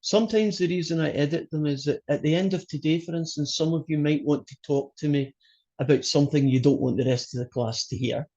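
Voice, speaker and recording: A Scottish man teaches a college math class over a video call, chatty and joking, with plenty of fillers along the way. His voice is gravelly and warm, with a husky edge. The call audio sounds a little dull and lo-fi. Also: a little high, fairly quick. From Scotland. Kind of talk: casual online class introduction.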